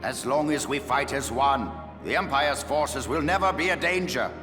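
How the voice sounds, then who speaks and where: An actor playing a courtly, scheming foreign diplomat in a video game, speaking in a formal, measured way. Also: male voice, mid-range voice, lightly acted. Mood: rousing. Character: smooth-talking male foreign diplomat, secretly scheming. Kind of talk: video game cutscene acting. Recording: reverberant hall under clear music.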